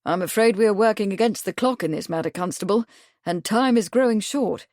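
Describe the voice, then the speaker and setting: Woman voicing a composed gentleman lord. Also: medium pitch, brisk, dry recording, studio quality, lightly acted. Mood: serious. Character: composed aristocratic gentleman investigator.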